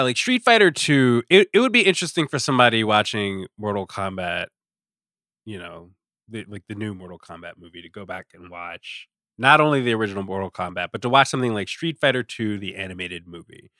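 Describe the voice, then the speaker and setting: A man's voice: lowish voice, sharp tone, no echo, studio quality. A man giving his opinions as a podcast guest, emphatic and thinking aloud in casual discussion. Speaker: podcast guest, culture writer.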